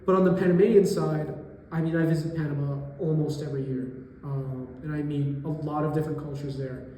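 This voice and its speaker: A young man in his twenties, a college student from New York, answering interview questions thoughtfully and pausing to find his words. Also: on the high side, fairly even intonation, warm tone. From New York area, US. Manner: thoughtful, pauses to search for words. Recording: echoey room with long reverb.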